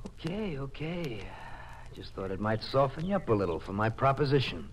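A man's voice: mid-range voice, sing-song, moderate pace, a little hiss or hum, close to an everyday voice. A man playing a cocky, folksy oil worker with a sly, easygoing manner in an old radio crime drama. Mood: cocky, needling.

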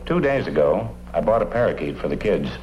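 An earnest, talkative detective sidekick in an old radio police drama. His low voice moves up and down in a lively, folksy way. The sound is dull, with faint noise. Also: a little fast. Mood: chatty, eager. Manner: folksy, eager, rambling. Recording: muffled, noisy old radio recording.

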